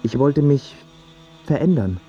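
A man's voice: mid-range voice, animated, unhurried, warm tone, highs cut off, soft background music, lightly acted. An actor playing a stubborn, self-absorbed young male artist in a German radio play, argumentative and pretentious in his phrasing. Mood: detached, evasive.

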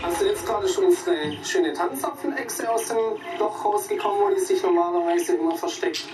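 A gushing nature show presenter on a television in a radio drama. Her female voice is very animated and chatty, distant from the mic, with music. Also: fairly high pitch, a little fast. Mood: enthusiastic. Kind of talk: TV nature programme commentary.

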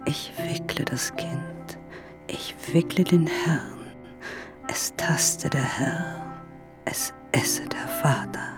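Slow, commanding biblical mother. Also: deep voice, over music. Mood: hushed, incantatory. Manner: imperious, terse, incantatory, often whispering. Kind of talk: literary radio drama acting.

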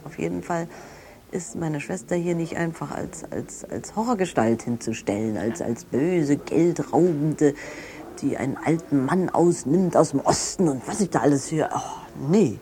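An actress playing a grieving, guarded woman in a naturalistic radio drama, speaking haltingly. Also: sits low, expressive, mellow. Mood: defensive. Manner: halting, with pauses and restarts.

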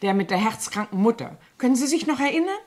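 A woman's voice: a little high, expressive, fast talker, darkish tone, close to an everyday voice. An actress playing a chatty French cleaning woman who sounds naive and guileless while telling gossip dramatically, in a 1970s German radio play. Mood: eager, gossipy.

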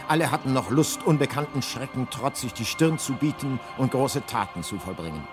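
Radio play narrator, male actor: guidebook voice, mock-epic, measured, wry, mid-pitched. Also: lively intonation, over music, lightly acted. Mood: wry grandeur. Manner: measured, mock-epic delivery with deliberate pauses. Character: grandly ironic guidebook narrator.

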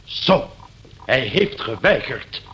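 Impatient husband, mid-pitched, brusque, curt questions, radio play acting. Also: male voice, very animated, slow pace, pushed and bright, lo-fi sound, slight background noise, full character voice. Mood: sceptical, curt.

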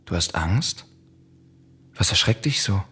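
An actor playing a cold, vengeful young prince in a radio play of Greek tragedy, stern and commanding with a husky voice. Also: male voice, deep voice, animated, takes it slowly, pushed and bright, heavily acted. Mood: cold, taunting.

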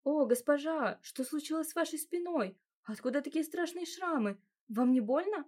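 A woman voicing an eager young maid who exclaims excitedly. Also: high voice, moderate pace, pitched above the performer's own voice. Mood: alarmed. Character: eager young female maid, warm and chatty.

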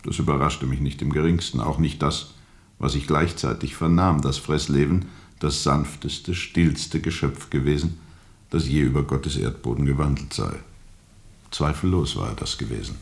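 An actor playing a weary, bitterly ironic steamboat captain in a radio play, speaking deliberately and sardonically with weighty pauses. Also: male voice, a bass voice. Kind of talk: radio play acting, testimony under questioning.

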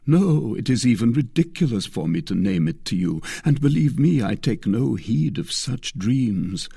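A man's voice: medium pitch, very animated, unhurried, creaky, dark tone, breathy edge, dry recording, clean studio sound, full character voice. A man voicing an ardent lovestruck nobleman, declaiming passionately in a dramatic audiobook reading. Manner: impassioned, declamatory.

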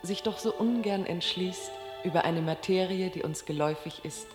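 A woman playing a solemn voice in a radio play, reciting classical reflections in a measured, formal way. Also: fairly low pitch, close to an everyday voice. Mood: solemn, reflective. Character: solemn female voice reading a classical author's reflections. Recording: long echoing reverb, music behind. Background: music.

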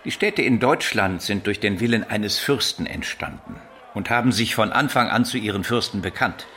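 Low-voiced learned older bishop. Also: male voice, sharp tone, a little hiss or hum. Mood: explaining. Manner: measured, didactic, explanatory. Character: learned, shrewd older bishop, the emperor's uncle. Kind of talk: radio drama acting.

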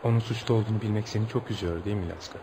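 An actor playing a curious, sympathetic male journalist in a radio play, speaking in an inquisitive, animated way. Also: lowish voice, rapid-fire, a little hiss or hum, close to an everyday voice. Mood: curious, surprised. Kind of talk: radio theatre acting.

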